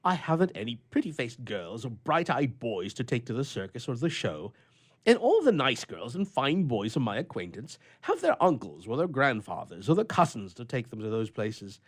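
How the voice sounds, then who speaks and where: A man's voice: mid-range voice, very animated, moderate pace. A man voicing an irritable, lonely bachelor in a storybook reading, with emphatic, indignant, old-fashioned oratory. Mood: indignant misery.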